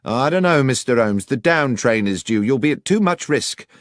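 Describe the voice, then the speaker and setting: A man's voice: medium pitch, animated, moderate pace, no echo, studio quality, voice pushed up, natural voice. A man playing a cautious, deferential railway station master who is careful of his good name, in an audiobook. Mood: anxious. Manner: deferential, hesitant.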